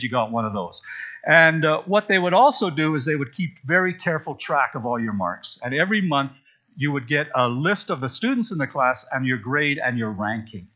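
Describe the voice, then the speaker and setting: A man from Zambia preaches to his congregation in a relaxed, storytelling way, full of asides. His voice is bright and a little high. The recording sounds muffled and lo-fi. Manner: chatty, storytelling, with frequent asides. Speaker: pastor. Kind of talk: sermon. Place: church sanctuary.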